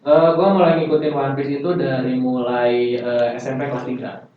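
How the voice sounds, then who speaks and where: A man's voice: mid-range voice, level tone, moderate pace. A young man, chatting and explaining casually as a podcast guest. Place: indoor podcast studio. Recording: very echoey, distant, thin phone-like sound, faint noise.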